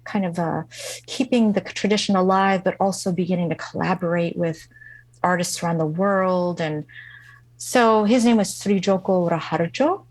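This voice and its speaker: Woman musician on a podcast, lowish voice, dry close sound, lots of ums and restarts. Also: no room sound, low-quality recording. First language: not English. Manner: halting, many fillers and restarts. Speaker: guest musician. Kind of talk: reflective interview storytelling.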